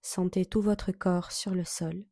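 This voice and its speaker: A woman leading a guided yoga relaxation as an instructor, giving soothing, measured instructions with long pauses. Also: fairly low pitch, fairly even intonation, warm tone, no echo, studio quality.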